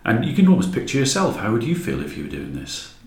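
A man's voice: mid-range voice, wildly swooping pitch, fast talker, gravelly. A man talking as an interview guest, explaining a creative project conversationally with plain examples. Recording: echoey room.

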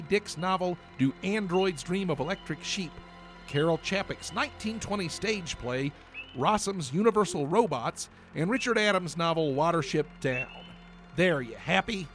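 Guy doing a huffy radio play announcer, exasperated, sharp forceful voice, very animated, some music. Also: fairly high pitch. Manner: theatrical, exasperated. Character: indignant, huffy male friend and announcer. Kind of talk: comic radio play acting, credits reading.

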